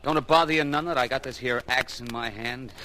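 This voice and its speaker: An actor playing a folksy American lumberjack with quiet resentment and menace in a 1940s radio drama. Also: male voice, mid-range voice, brisk, lightly acted. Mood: resentful, menacing. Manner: folksy, rustic, slyly menacing. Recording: noisy old 1940s radio recording.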